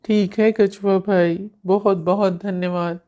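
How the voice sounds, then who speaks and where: A woman voicing an anxious, kindly male jackal husband in a children's animated moral story. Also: medium pitch, takes it slowly, lightly acted. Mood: troubled, grateful. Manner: fretful, conciliatory.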